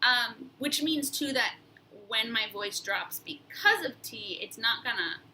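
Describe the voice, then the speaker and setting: A woman's voice: on the high side, expressive, a little slow, pushed and bright, slight background noise, rough recording. A young woman with a nasal voice, talking casually and reflectively in a personal vlog, with frequent fillers and restarts. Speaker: vlogger.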